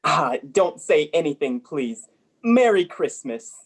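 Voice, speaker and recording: Give the voice of a reformed old miser played by a young performer in an old radio play. The old gentleman is giddy and generous, exclaiming in hearty, courtly phrases at a middling pitch. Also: big pitch swings, takes it slowly, slightly rough, full character voice. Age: young adult. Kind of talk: 1930s radio play acting.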